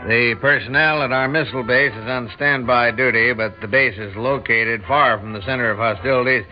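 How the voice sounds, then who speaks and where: An assured male military official, played by an actor speaks in a firm, measured way at a middling pitch. The radio drama recording is old and slightly dull. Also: fairly even intonation, soft background music, lightly acted. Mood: assured, dismissive. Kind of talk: 1960s radio drama acting.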